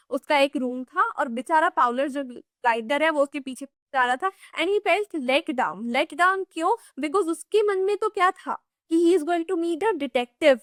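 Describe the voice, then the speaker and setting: High-voiced Indian woman teaching. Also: fairly bright tone, no echo, clean studio sound. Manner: chatty code-switching explainer with many "you know" fillers. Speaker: English teacher. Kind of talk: exam revision lesson.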